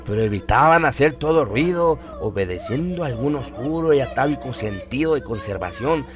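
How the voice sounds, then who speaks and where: A man's voice: mid-range voice, animated, moderate pace. A man narrating a horror radio story in a solemn, literary, suspenseful manner. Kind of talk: dramatic scripted horror narration. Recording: music behind the voice. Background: music.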